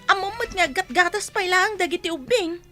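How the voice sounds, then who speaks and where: Weary young wife played by a woman, Ilocano accent, piercingly high, pleading and nagging, radio comedy drama. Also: normal speed, music in the background.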